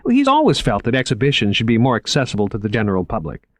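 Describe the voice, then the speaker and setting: A man voicing an eager, shy young male museum curator who talks fast and stammers when he gets flustered. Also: medium pitch, wildly swooping pitch, no echo, studio quality.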